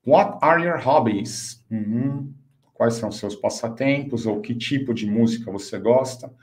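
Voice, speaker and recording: A man in his fifties with a Brazilian accent, a native Portuguese speaker, teaching an online English lesson and slowly enunciating example phrases. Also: medium pitch, a little mellow. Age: 50s. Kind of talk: online language lesson, explaining and modelling phrases.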